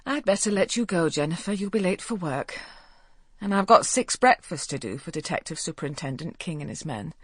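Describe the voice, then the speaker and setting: A woman voicing a brisk, practical middle-aged mother with a sharp tongue in an audiobook. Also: lowish voice, expressive, breathy edge, no echo.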